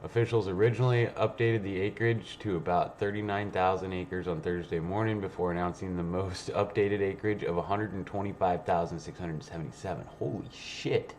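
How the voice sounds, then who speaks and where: A man with a lowish voice hosts a casual livestream. He rambles and reads aloud in a blunt, offhand, sweary manner. Faint noise sits behind him. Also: steady tone, a little fast, few pauses, a little mellow. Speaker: livestream host.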